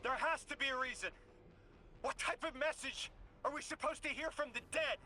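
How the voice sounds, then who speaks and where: A man's voice: very high voice, normal speed, pushed and bright, slight background noise, exaggerated voice. An actor playing an earnest, anxious, loyal male companion in a video game drama. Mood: desperate. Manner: earnest, emotional.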